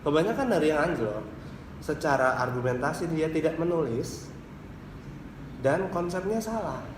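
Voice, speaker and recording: A man's voice: fairly high pitch, expressive, moderate pace. A man from Indonesia answering interview questions as a university lecturer, explaining things point by point. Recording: echoey room with clear background noise.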